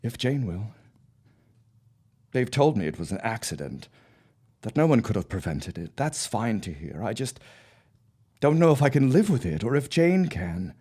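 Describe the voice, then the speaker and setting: A grieving father in an audio drama, acted by a man, speaks in a low voice that swings widely up and down. He is racked with guilt and torn by doubt, a man of the early twentieth century. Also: darkish tone, breathy edge. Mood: anguished, doubtful.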